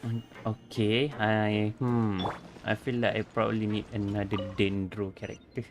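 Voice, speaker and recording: A man doing casual livestream game commentary in non-native English, haltingly thinking aloud, with game music behind him. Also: on the low side, little pitch movement. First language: not English. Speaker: streamer. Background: video game music.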